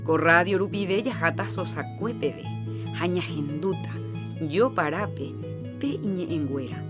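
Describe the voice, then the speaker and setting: A woman's voice: lowish voice, measured pace. A woman hosting a radio program, presenting scripted health announcements. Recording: music behind the voice.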